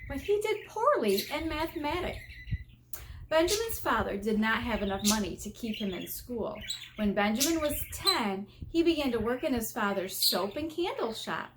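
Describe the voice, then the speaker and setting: An American woman reading a picture book aloud as an elementary teacher, expressive and teacherly, stressing key words and putting questions to her young listeners. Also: on the high side, normal speed, background noise. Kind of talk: children's read-aloud with explanatory asides.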